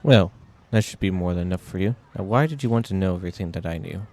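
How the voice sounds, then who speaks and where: A man's voice: low voice, lively intonation, fast, a little mellow. A man playing a formal, grave informant who delivers emphatic dramatic exposition in a horror drama. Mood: formal, insistent. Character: formal, grave male informant recounting a madman's crimes. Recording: music playing behind the voice.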